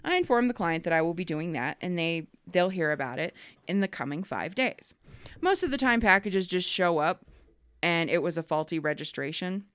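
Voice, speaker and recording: A woman co-hosting a casual podcast, telling work stories with lively acted-out quotes and frank swearing. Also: fairly low pitch, normal speed, clean tone, dry recording, studio quality. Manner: animated storyteller, acts out callers and swears freely. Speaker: podcast co-host. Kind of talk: casual storytelling chat.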